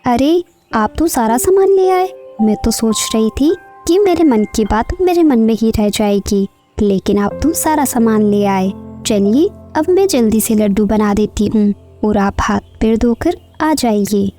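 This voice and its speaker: A woman's voice: a little high, animated, measured pace, warm tone, soft background music. A woman voicing a devoted, eager village wife in a cartoon story. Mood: delighted. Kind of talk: Hindi cartoon story acting.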